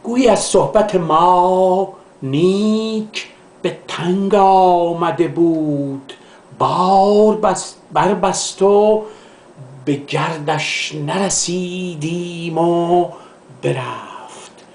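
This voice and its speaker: A man from Iran, a literature professor, recites classical poetry in a measured, declamatory way, drawing out the line endings. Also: high voice, darkish tone. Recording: echoey, reverberant room sound.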